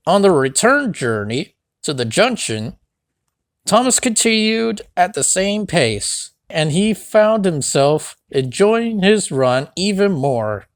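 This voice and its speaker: Children's read-along narrator; unhurried, very animated intonation, dry close sound. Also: mid-range voice, no room sound. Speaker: storybook narrator.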